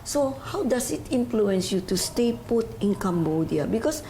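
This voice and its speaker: Gravelly, dark-voiced female TV host. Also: on the high side, very animated, normal speed, a little hiss or hum, poor audio. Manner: effusive, loose grammar, repeats "you know". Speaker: talk show host. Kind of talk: television interview.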